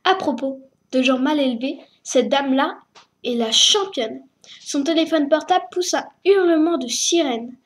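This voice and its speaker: A woman reading a children's story aloud, slowly and hesitantly, stumbling over words. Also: high-pitched. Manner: slow, hesitant reading with fillers.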